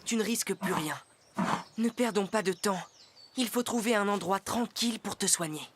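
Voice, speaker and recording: A woman's voice: medium pitch, normal speed, slightly rough, close to an everyday voice. A woman voicing a brave, kind young boy guide in a cartoon, speaking in an earnest, reassuring manner. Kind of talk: dubbed cartoon acting. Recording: loud music under dialogue.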